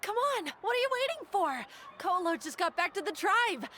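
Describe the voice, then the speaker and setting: A woman playing an excited young villager urging a friend along in a video game. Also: extremely high pitch, normal speed, a little hiss or hum, full character voice.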